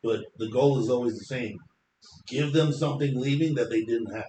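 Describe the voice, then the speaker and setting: A man with a deep voice, a hairstylist guest explaining his craft at length in a confident, talkative way. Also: normal speed, dark tone, reverberant, slight background noise, low-quality recording. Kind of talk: casual interview chat.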